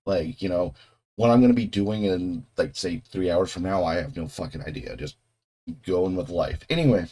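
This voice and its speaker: A young man hosting a reaction video, talking casually with a voice still hoarse from a cold, rambling, swearing and restarting his sentences. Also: sits low, normal speed, warm tone. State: hoarse, getting over a cold. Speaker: host of a video reaction channel. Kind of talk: casual off-the-cuff chat.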